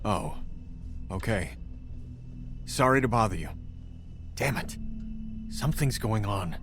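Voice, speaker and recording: A man's voice: on the low side, animated, slow pace, music in the background. A man playing a worried, earnest husband in a video game, anxious and apologetic. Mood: dejected, uneasy. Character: worried, earnest husband, polite but uneasy.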